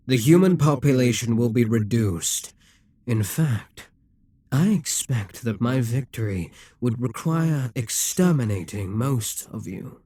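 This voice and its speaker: A man voicing a possessive, scheming demon king in an audio roleplay, calm and commanding, slipping into whispers as he coaxes. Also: medium pitch, dry recording. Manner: slow, commanding, coaxing, drops to whispers.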